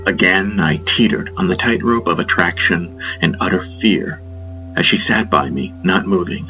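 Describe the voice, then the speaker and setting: A man narrating a first-person fantasy audiobook in a solemn, measured, reflective manner. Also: deep voice, music in the background, low-quality recording.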